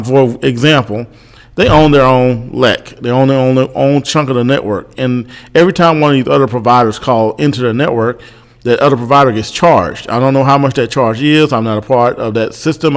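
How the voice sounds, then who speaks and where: An American man talks through his opinions off the cuff, explaining things in a measured way and circling back to repeat points. His pitch is mid-range for a man, and his voice carries a long reverberant tail, as in a hall. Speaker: host giving consumer commentary. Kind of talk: unscripted opinion commentary.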